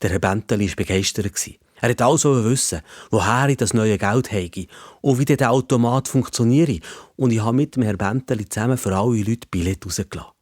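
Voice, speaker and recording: A man's voice: on the low side, fairly quick, dry recording, studio quality. A man with a Swiss German accent telling a children's story in the first person.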